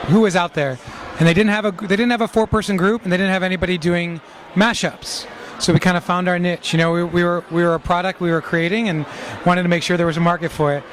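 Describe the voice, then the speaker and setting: A man's voice: sits high, slight background noise. A male pop singer answering interview questions casually, rambling and restarting in a friendly way. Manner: rambling, self-correcting, friendly.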